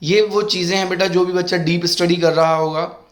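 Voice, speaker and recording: A middle-aged man teaches an exam-prep class, his voice sitting a touch higher than most men's. His throat is sore. He reads each question out slowly and warmly calls his students beta. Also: level tone. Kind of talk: exam-prep lesson in Hindi mixed with English.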